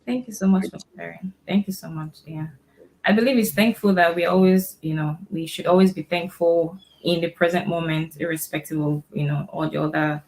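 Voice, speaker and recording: A woman's voice: fairly low pitch, fairly even intonation, fairly quick, a little mellow, low-quality recording. A woman hosting a talk show in a casual, chatty way.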